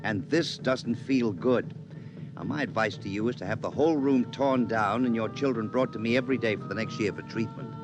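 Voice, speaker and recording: A man's voice: mid-range voice, brisk, close to an everyday voice, rough recording. An American man acting a grave, frank child psychologist in a 1950s radio drama. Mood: grave warning. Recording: vintage radio recording with music behind.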